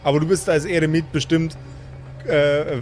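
A man's voice: a little high, fairly even intonation, normal speed, a little mellow, faint music behind. A man acting as game master, improvising the narration of a fantasy tabletop audio game.

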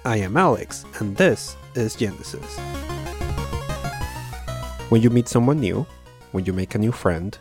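A man's voice: on the low side, animated, a little slow, pauses often, over music. A man hosting an interview, presenting introductions and putting questions to a guest. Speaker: interviewer and host.

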